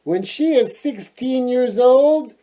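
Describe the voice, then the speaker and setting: A man plays a nasty, powerful storybook fairy woman out for revenge. The voice is loud and swoops high, with slow, dramatic threats. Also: animated, exaggerated voice, rough recording. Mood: menacing.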